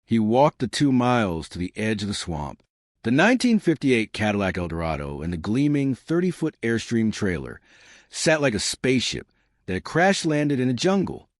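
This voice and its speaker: A man reading a scripted dark mystery story as a dramatic, deliberate narrator. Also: mid-range voice, huge pitch swings, no echo, clean studio sound.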